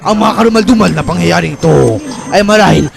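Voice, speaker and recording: A man playing a stern, preachy mentor to two angels, creaky and commanding, in a cartoon fan dub. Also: high voice, fast. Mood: grave, explaining. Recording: fan dub with music behind. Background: music.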